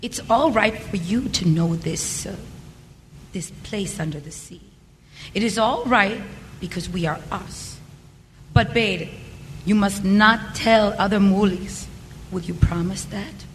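A very slow voice in long reverb with faint noise: an actor performing an ancient, otherworldly sea spirit in an audio drama. It is secretive and gently coaxing, choosing its words formally. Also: medium pitch, lightly acted. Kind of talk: slow eerie audio play dialogue. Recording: long reverb, noisy ambience.

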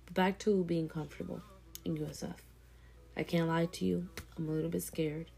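Low, gravelly young woman talking earnestly. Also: little pitch movement, brisk, mellow. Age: 20s. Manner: earnest and encouraging, repeats phrases for emphasis. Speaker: vlogger. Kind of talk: casual motivational talk to camera.

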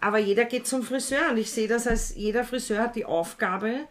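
A woman's voice: a little high, fairly quick, a little hiss or hum. A middle-aged woman, a hairdresser guest talking frankly and casually in an interview. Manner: frank, explains with acted-out salon dialogue. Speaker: hairdresser and salon owner, guest.

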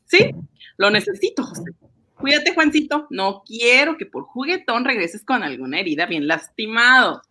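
A woman acting an affectionate, worried wife and mother in an amateur radio soap, in an expressive, melodramatic way. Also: a little high, moderate pace, exaggerated voice. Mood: caring.

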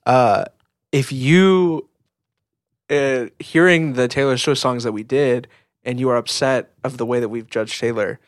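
A man's voice: mid-range voice, unhurried, no echo, studio quality. A man co-hosting a podcast in casual conversation, informal and a little tentative in how he phrases things. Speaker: podcast co-host.

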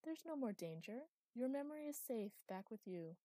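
A woman reading aloud, voicing a gentle, soothing hound-like woman. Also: on the high side, sing-song, normal speed, no room sound, studio quality, voice pushed up.

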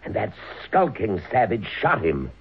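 Casting: older frontier father, bigoted and menacing, very low husky voice, old radio sound. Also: huge pitch swings, measured pace, lo-fi sound, exaggerated voice. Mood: indignant, contemptuous. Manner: blustering, indignant, menacing. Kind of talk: old-time radio drama acting. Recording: band-limited old radio drama recording.

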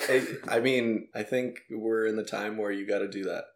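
Easygoing thirtysomething male host, lowish gravelly voice, echoey room. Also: fairly even intonation, moderate pace. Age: 30s. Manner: easygoing, asks questions, repeats yeah. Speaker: podcast host. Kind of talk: casual podcast chat.

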